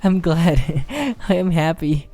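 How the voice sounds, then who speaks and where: A man playing a shy, affectionate werewolf boyfriend in a soft, hesitant romantic ASMR roleplay. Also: on the high side, animated, takes it slowly. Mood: soothing, loving. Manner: hesitant, affectionate, soft-spoken, often chuckling. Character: shy, tender male werewolf boyfriend, young adult.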